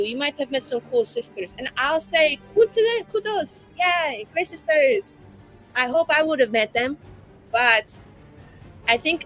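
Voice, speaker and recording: A woman's voice: high-pitched, fairly bright tone, rough recording. A woman playing a tipsy, indignant young woman, ranting with sarcasm and swearing in a tabletop game. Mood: sarcastic ranting.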